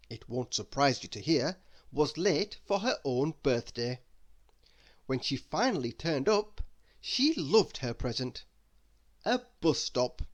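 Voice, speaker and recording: A storybook reader narrating a children's tale aloud with expressive emphasis. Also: medium pitch.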